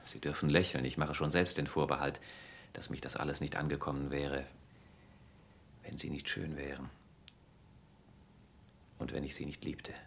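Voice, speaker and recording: An actor playing a courtly, cynical gentleman humbled by adoration in a German radio play, formal, measured and confessional. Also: flat delivery, slight background noise, full character voice. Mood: tender confession. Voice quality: very deep, gravelly.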